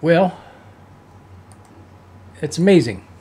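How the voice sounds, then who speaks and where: Slow-talking older man, folksy and halting. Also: a little high, big pitch swings, dark tone, slightly rough. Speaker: reaction video host. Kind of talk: casual chat to viewers. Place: small home room, indoors.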